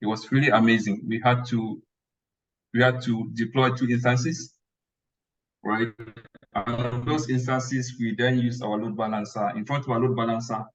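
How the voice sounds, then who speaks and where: A man's voice: medium pitch, steady tone, moderate pace. A man hosting an online talk, enthusiastic and often checking in with "right?" as he questions a guest on a video call. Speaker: host. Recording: muffled, distant call audio.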